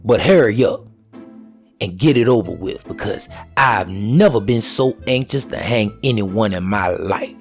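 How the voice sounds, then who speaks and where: Man voicing a stern, slow-talking old plantation master. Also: on the low side, expressive. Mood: impatient, menacing. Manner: stern, threatening, folksy. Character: angry, vengeful old male plantation master. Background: music.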